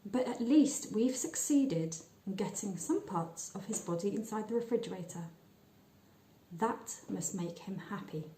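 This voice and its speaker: A woman's voice: mid-range voice, expressive, a little slow, warm tone, reverberant, slight background noise, lightly acted, poor audio. A woman voicing an earnest, stubbornly self-assured young boy in a story reading. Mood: consoling.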